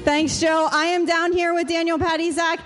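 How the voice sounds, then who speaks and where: High, upbeat female field reporter interviewing a player after the game. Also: little pitch movement, normal speed, fairly bright tone, soft background music. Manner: upbeat, chatty questions. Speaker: sideline reporter. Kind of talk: postgame interview.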